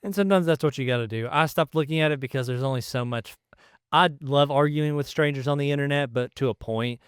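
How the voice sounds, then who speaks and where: A man's voice: mid-range voice, quick pace, clear, resonant tone, no room sound, studio quality. A man chatting casually as the co-host of a podcast. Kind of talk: casual chat.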